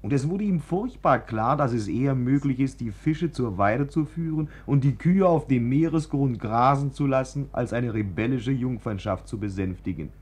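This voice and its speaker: An actor playing a wry, gossiping storyteller, narrating theatrically in a 1940s radio play. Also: male voice, medium pitch, animated, moderate pace, few pauses, darkish tone, breathy edge.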